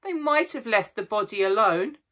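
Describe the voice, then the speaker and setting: A man voicing an emotional, excitable young girl in a storybook reading, exclaiming as she speaks. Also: mid-range voice, normal speed, voice pushed up, lightly acted. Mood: distressed.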